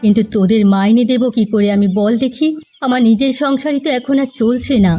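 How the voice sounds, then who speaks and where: High, mellow, dramatic rich housewife acted by a woman. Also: a little fast, soft background music, close to an everyday voice. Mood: regretful. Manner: dramatic, plaintive wailing. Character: proud rich housewife fallen on hard times, given to lamenting. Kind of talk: melodramatic cartoon fairy-tale dialogue.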